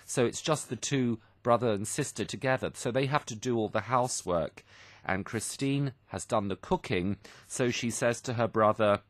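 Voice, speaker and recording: A man's voice: mid-range voice, moderate pace, no room sound. A man presenting an English lesson on the radio, explaining phrases simply and didactically with examples and repetition. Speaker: radio programme host and English teacher. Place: radio studio.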